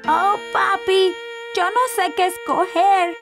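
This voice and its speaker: High-pitched cheerful little boy. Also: slow pace, music in the background, exaggerated voice. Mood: excited, eager. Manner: childlike, excitable. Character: cheerful, eager little boy, about four. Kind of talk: children's cartoon dubbing.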